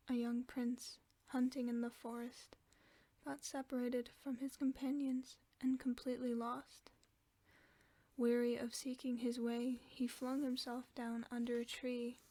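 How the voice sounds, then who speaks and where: A woman reading a fairy tale aloud for sleep in a soft, steady whisper. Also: a little high, dry recording. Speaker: ASMR storyteller.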